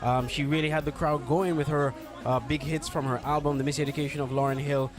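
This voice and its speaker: A man's voice: a little high, little pitch movement, fairly quick. A man giving an unscripted news report on location at a music festival. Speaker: field reporter. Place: at a live music festival at night. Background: festival concert music.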